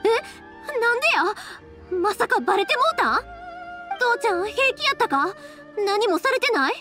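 A woman's voice: extremely high pitch, big pitch swings, normal speed, music in the background. A woman voicing a spirited, caring big-sisterly young woman in an anime game, with a Kansai accent. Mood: alarmed.

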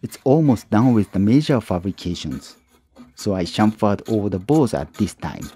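A man narrating his own woodworking tutorial as a calm voice-over, with plain, slightly non-native phrasing. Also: on the low side, moderate pace, husky. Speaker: woodworking channel host.

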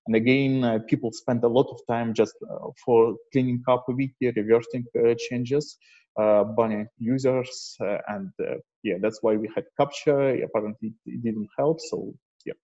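Male meetup presenter, mellow and husky, hesitant informal delivery, lo-fi call audio. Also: mid-range voice, fairly even intonation, normal speed. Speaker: presenter at an online developer meetup. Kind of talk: informal technical presentation with slides. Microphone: video call microphone. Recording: dull, muffled lo-fi online call.

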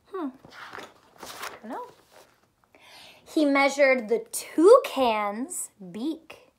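A woman's voice: a little high, sharp tone. A woman in her thirties reading a picture book aloud as a teacher, explaining simply and posing questions to young listeners. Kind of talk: children's story read-aloud with simple teaching. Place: small furnished room at home, indoors.

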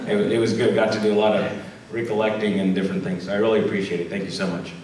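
A man speaks as a panelist in quick, level phrases. His voice is on the low side and barely moves in pitch. It comes through a handheld mic from far across a large, echoey hall. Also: level tone, heavy background noise. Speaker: panelist, state legislator. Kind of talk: panel remarks. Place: large community center hall, indoors. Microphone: handheld mic, filmed from a far camera.

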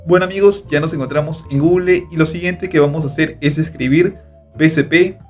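Man, tutorial voice-over, slightly high voice, faint music underneath. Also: a little fast. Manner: steady instructional narration, repeats click steps. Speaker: tutorial host. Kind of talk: screen-recorded step-by-step tutorial. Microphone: voice-over over screen recording.

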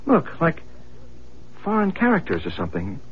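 A man's voice: fairly high pitch, huge pitch swings, moderate pace. An actor playing an easygoing, curious young husband and father in a radio drama, with a casual, musing manner. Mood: fascinated. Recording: dull lo-fi radio broadcast, faint noise.

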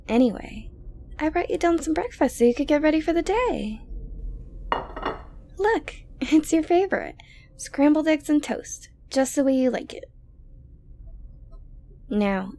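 A woman playing an obsessive, sweet-talking yandere girlfriend in an intimate ASMR audio roleplay, coaxing softly with breaths and near-whispers. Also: sits high, full character voice. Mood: doting, coaxing. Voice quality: soft, breathy, often dropping to a whisper. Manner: coaxing, cooing, falsely gentle, hesitant.

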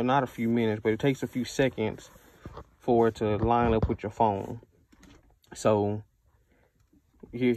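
A man's voice: medium pitch, a little mellow, slight background noise. An American man giving a casual, unscripted product review in a conversational way, repeating himself for emphasis.

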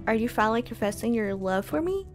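A woman's voice: a little high, sing-song, fast, music in the background. A woman voicing a teasing, affectionate young man with a playful, doting manner.

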